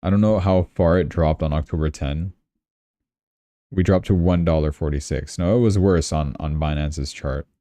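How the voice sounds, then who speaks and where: Casting: male livestream news host, very low mellow voice, offhand and wry, close dry sound. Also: normal speed, no echo. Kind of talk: casual live commentary on crypto charts and news.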